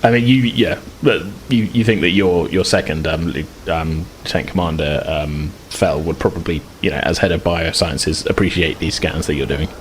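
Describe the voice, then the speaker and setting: A man with a very low voice acts as game master for a tabletop game. He explains the scene in a hesitant, rambling flow, making it up as he goes. There is some faint noise behind him. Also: moderate pace, few pauses, rough recording. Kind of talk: improvised narration of a tabletop game.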